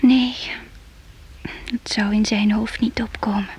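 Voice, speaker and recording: Young queen, radio play, slow measured delivery, breathy, spirited, imperious yet affectionate. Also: medium pitch, little pitch movement, darkish tone. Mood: intimate, confiding.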